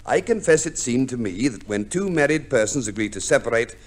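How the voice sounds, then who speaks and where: An actor playing a fussy, pompous divorce lawyer, formal and precise, in 1950s radio drama style. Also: male voice, mid-range voice, lively intonation, brisk, natural voice. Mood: expansive, reasoning. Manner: formal, precise, unctuous, hesitant hums. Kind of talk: 1950s radio play acting.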